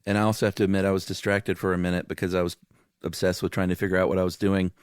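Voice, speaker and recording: A man with a low, smooth voice chats as a podcast co-host. His manner is informal and laid-back, and the recording is very dry. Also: little pitch movement, very fast, dry recording. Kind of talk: casual chat.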